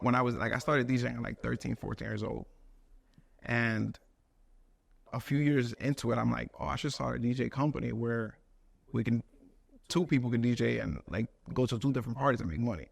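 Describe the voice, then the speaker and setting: Gravelly-voiced man in his thirties. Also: mid-range voice, no echo. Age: 30s. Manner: relaxed, rambling, many "like" fillers. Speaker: studio owner, guest. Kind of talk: casual interview chat.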